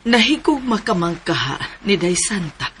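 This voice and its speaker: A woman's voice: fairly low pitch, very animated, moderate pace, slight background noise. An actress playing a worried, cautioning middle-aged mother in a Philippine radio drama, with a Cebuano Filipino accent. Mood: grave, worried.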